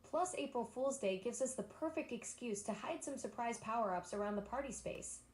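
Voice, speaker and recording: A woman's voice: fairly high pitch, animated, normal speed, a little mellow, roomy sound. A synthetic female voice assistant talking in a bubbly, enthusiastic, eager-to-please way. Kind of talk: upbeat synthetic assistant chat.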